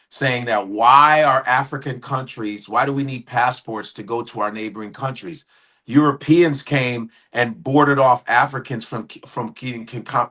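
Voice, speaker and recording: A man's voice: medium pitch, sharp tone. A middle-aged man giving blunt, profane, indignant opinions as a guest commentator. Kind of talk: heated opinion talk.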